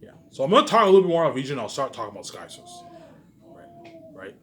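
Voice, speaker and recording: A man's voice: fairly high pitch, huge pitch swings, very fast, a bit bright, a little hiss or hum. A man giving a rambling, informal monologue, repeating his phrases and swearing often, with words tagged onto the ends of sentences. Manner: repetitive, circles back to the same phrases; frequent swearing. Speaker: solo video speaker.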